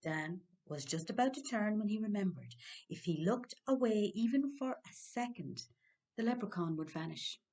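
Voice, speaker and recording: An Irish-accented woman reading a children's story expressively, with dramatic timing and hushed suspense. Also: mid-range voice, animated, moderate pace. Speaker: library storyteller.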